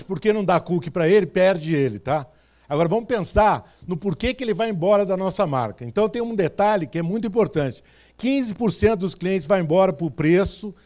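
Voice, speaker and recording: Brazilian man from interior São Paulo giving an easygoing talk, voice sitting a bit high, keeps checking in with the crowd. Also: a little mellow. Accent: Brazilian Portuguese, interior São Paulo state. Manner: conversational, with frequent "tá certo?" and "né?" check-ins. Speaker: speaker. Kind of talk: stage talk to an audience. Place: event stage before a live audience.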